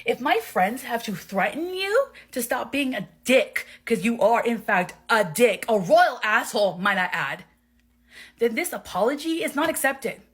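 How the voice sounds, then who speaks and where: A woman playing a proud, wounded young man in an amateur audio drama, blunt, emphatic and sweary, with a husky voice. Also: fairly high pitch, very animated, measured pace, a bit bright, heavily acted. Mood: hurt, scornful.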